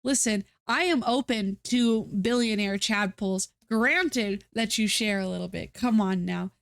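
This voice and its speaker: A woman's voice: mid-range voice, measured pace, creaky, dry recording, clean studio sound. Rambling, stuffy-nosed woman streamer. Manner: rambling, self-mocking, many fillers and restarts. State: stuffy nose. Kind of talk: casual live-stream chat.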